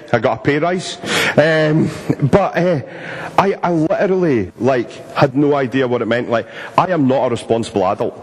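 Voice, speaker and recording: A Scottish man giving an informal, jokey talk to a church audience, poking fun at himself with lots of ums. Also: mid-range voice. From Scotland. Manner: jokey, self-mocking, many filler ums. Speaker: speaker at a church youth event. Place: church hall. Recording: long reverb of a large hall.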